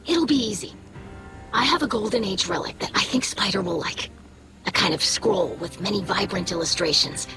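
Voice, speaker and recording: An earnest young alien scholar played by a woman speaks in a slightly high voice, hopeful and persuasive. She is a determined video game character, with music clearly playing behind her. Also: natural voice. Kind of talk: scripted video game dialogue.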